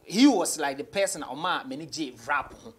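A man's voice: fairly high pitch, expressive, moderate pace, breathy edge. A young Ghanaian man, a rapper being interviewed, casually telling stories in a mix of English and Twi. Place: small radio studio office, seated on a couch.

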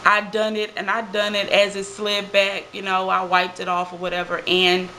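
A woman's voice: medium pitch, monotone, normal speed, bright, forceful tone, a little hiss or hum. A young adult woman chatting in a casual product review vlog, emphatic, with lots of fillers and tag questions, recorded on a webcam in a small room. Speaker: beauty vlogger.

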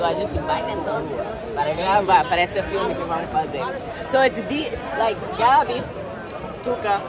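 A young woman vlogs from a crowded tournament arena with a phone at arm's length. Her talk is casual, animated and full of teasing laughs as she moves between two languages. A loud crowd fills the background. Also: medium pitch. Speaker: vlogger. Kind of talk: casual chat, switching between English and Portuguese. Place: large indoor arena at a sports tournament. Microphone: phone held at arm's length.

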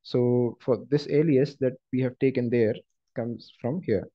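A man narrates a software lesson in a dull, lo-fi recording. His voice sits at an average pitch. He explains each step in a halting, instructive way, as a trainer sharing his screen. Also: fairly even intonation. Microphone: screen-recording voice-over.

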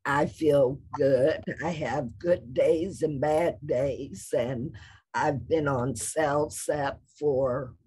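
A middle-aged woman with a raspy voice, answering questions on a video call from her office after presenting. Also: deep voice, steady tone, extremely slow, darkish tone, a little hiss or hum. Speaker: conference presenter. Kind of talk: Q&A after a talk.

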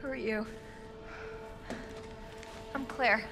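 A woman's voice: a little high, fairly even intonation, very slow, creaky, music in the background, full character voice. A young woman playing a determined, protective survivor in a video game, urgent and full of anxious questions. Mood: urgent worry. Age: young adult. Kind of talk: video game cutscene acting.

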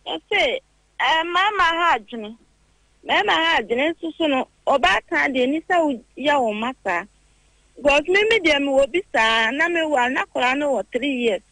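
A woman phoning in to a radio show, speaking softly and earnestly. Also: high-pitched, animated, normal speed, dry recording, poor audio. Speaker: radio caller. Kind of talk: phone-in call.

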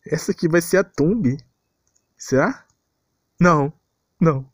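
A man's voice: on the high side, slow, dry recording, studio quality. A man with a Brazilian Portuguese accent giving an informal, exasperated rant as an anime commentator, repeating himself and using lots of slang.

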